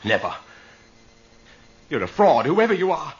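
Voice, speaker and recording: An actor playing a frightened but defiant skeptic trapped in the dark in a radio horror drama, muttering to himself and flaring into anger. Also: male voice, fairly high pitch, sing-song, unhurried. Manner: muttering to himself, bursting into angry shouts. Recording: lo-fi hissy radio drama recording.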